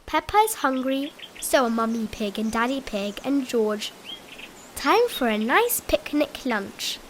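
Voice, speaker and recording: A woman's voice: sits high, animated, unhurried, a little hiss or hum. A woman reading a storybook aloud expressively for small children. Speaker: storybook reader.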